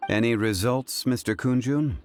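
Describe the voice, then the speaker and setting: An actor playing a dignified, scholarly gentleman in a video game, formal, measured and quietly commanding. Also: mid-range voice, lightly acted. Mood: formal, composed. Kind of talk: video game character acting.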